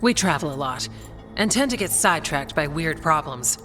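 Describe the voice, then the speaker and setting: An actress playing a practical, level-headed soldier in a video game, speaking in a dry, matter-of-fact way. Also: female voice, low-pitched, expressive, normal speed, a bit bright. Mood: dry, concerned. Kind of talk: dramatic video game cutscene acting. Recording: faint music behind the voice.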